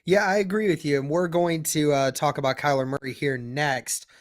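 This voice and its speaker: A man's voice: fairly high pitch, fairly even intonation, normal speed. Young man hosting, with a sore throat. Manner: upbeat, leads the discussion. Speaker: podcast host. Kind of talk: casual sports podcast chat.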